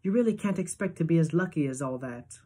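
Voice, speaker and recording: A reader voicing a kind mother in a storybook, speaking gently and softly. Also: mid-range voice, expressive, fast talker, slightly rough. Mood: tender. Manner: gentle, soft.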